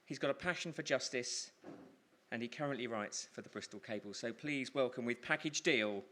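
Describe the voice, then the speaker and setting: A man's voice: medium pitch, moderate pace, noisy background. A man compering a live storytelling event, introducing acts with emphatic, repeated phrases.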